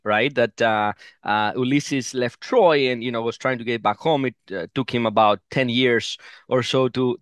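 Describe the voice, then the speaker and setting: Middle-aged man, reflective and halting, dry close headset sound. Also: mid-range voice, clean tone, dry recording. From Greece. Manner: thoughtful, many "uh" fillers, repeats words. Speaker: oncologist guest. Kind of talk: interview answers. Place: home room, video call.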